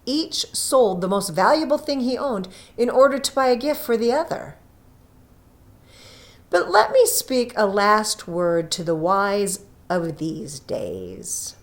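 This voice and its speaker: A woman reading a scripted story aloud with deliberate, dramatic phrasing. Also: medium pitch, sing-song. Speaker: story reader.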